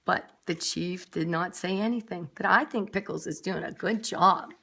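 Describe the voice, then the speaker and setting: A woman's voice: lowish voice, normal speed, gravelly, fairly bright tone. A woman reading a children's story aloud expressively, with dramatic emphasis and little asides for young listeners. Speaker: nursery school story reader.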